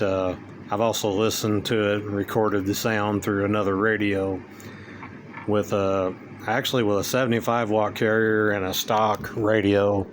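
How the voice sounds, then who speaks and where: A man with a fairly low voice gives an informal, hands-on demonstration. He talks plainly and folksily, with loose everyday grammar. Steady background noise is clearly audible behind him. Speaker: hobbyist presenter demonstrating radio gear.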